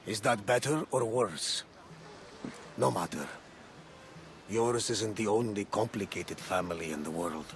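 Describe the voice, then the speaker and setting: An actor playing a loyal ship's captain in a video game, with dry humour. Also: male voice, lowish voice, a little slow, pauses often, a little hiss or hum. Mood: wry. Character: wry middle-aged male ship's captain.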